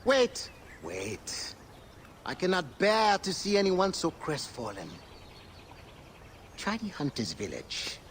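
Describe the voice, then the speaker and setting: An actor playing a flamboyant, teasing gossip in a video game, theatrical and exclamatory. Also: male voice, high-pitched, wildly swooping pitch, slow, long pauses, fairly bright tone, a little hiss or hum, exaggerated voice. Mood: theatrical, playful. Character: flamboyant, theatrical, gossipy man employing a scribe.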